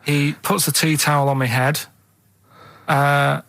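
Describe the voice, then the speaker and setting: Higher-pitched Mancunian man, dry close sound. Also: little pitch movement, unhurried, gravelly, fairly bright tone, no echo. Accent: Manchester. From Manchester, England. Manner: hesitant, rambling, plain-spoken. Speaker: radio show regular. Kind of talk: casual chat. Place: radio studio.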